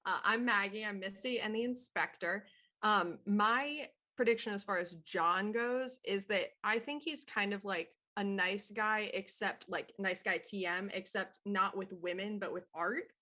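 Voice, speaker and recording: Bright, forceful woman chatting. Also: mid-range voice, unhurried. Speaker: voice actor. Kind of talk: casual panel chat.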